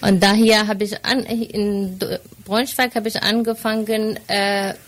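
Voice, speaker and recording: A woman's voice: mid-range voice, little pitch movement, measured pace. A woman from Syria, now a student, telling her story in an interview. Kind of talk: personal account in an interview.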